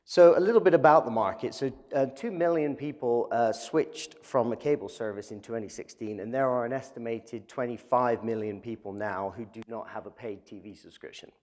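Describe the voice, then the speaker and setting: A man's voice: mid-range voice, moderate pace, hardly any pauses, darkish tone, in a large hall. A man with an English accent presenting his startup at a live event, talking conversationally with many fillers. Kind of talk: business pitch presentation.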